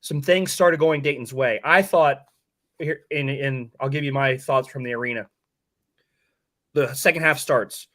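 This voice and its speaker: A hoarse-voiced man hosting a sports podcast, breaking down a game casually and candidly with some mild swearing. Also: mid-range voice, measured pace, fairly bright tone.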